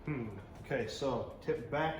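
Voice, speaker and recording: A man's voice: mid-range voice, a little slow, reverberant, a little hiss or hum. A man chatting casually as he hosts a DIY car build vlog, thinking aloud and muttering to himself as he works.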